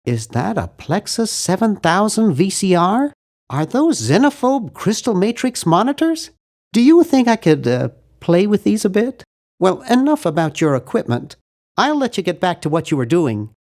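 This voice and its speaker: Cartoon game lead: nervous young American geek, high nasal voice for a man, very animated, fussy and earnest. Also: normal speed. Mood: nervous, eager. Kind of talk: cartoon adventure game voice acting.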